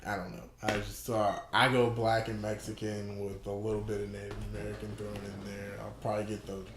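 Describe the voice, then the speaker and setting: A man's voice: on the low side, monotone, brisk, background noise. Joking young man, roomy echo. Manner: joking, laughs often, rambling. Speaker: MMA fighter guest. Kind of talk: casual podcast chat. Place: podcast studio.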